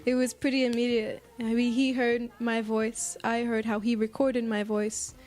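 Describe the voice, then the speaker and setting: Higher-pitched American woman, thoughtful. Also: level tone, moderate pace, a little mellow. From United States. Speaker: singer-songwriter being interviewed. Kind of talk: casual interview. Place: apartment.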